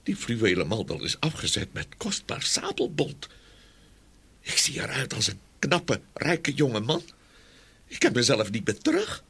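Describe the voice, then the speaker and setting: Casting: humble young dreamer for a storybook play, creaky voice, animated and wondering. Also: male voice, mid-range voice, unhurried, exaggerated voice. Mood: amazed. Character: simple, idle young peasant man, humble dreamer.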